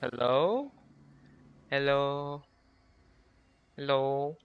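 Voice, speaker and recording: A man's voice: medium pitch, lively intonation, very slow, vocal fry, clear, resonant tone, faint music behind. A man hosting a casual gaming livestream, chatting with viewers in a half-distracted way. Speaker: game streamer, host. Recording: dull, lo-fi microphone sound.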